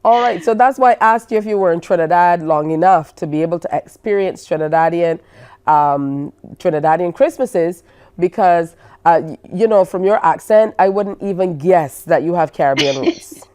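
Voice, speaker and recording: Mellow-voiced woman talk show host. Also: fairly low pitch, normal speed. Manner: chatty questions with many fillers. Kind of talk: interviewing a guest.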